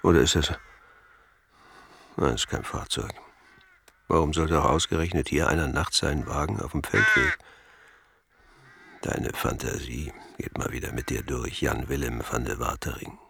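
A man playing a gruff, bossy character who mutters and commands in a German radio drama. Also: extremely low pitch, animated, moderate pace, pauses often, a bit bright, full character voice. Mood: grumbling to himself.

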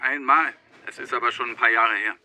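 An actor playing an evasive holidaymaker answering police questions, guarded and hesitant, in a naturalistic radio drama. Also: male voice, mid-range voice, steady tone, brisk, bright, forceful tone.

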